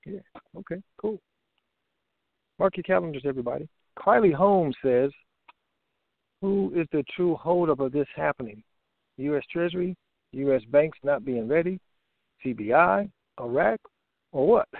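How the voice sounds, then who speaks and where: A man's voice: on the high side, lively intonation, normal speed, creaky, pauses often, no room sound. A man co-hosting a casual conference call over a phone line, moderating and reading out listeners' questions.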